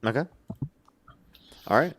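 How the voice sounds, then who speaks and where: A young man hosting a live debate stream, briskly taking callers and repeating his stock lines to viewers. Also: a bit bright. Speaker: livestream debate host. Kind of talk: live-stream call-in chat.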